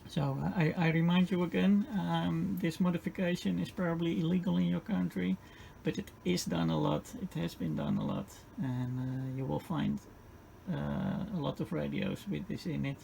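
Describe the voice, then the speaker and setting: A man with a heavily creaky, fry-laden voice that sits a little high talks through a hands-on technical demonstration. He explains piece by piece, haltingly, repeating phrases as he goes. Clear background noise runs under the home-made recording. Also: warm tone. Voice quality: creaky, gravelly voice. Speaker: radio repair tutorial host. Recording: clearly noisy home-made video sound.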